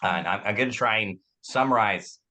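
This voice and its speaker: A man hosting a panel discussion on a video call, his voice hoarse from a sore throat as he sums up and invites correction. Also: on the low side, normal speed, sharp tone. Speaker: podcast host.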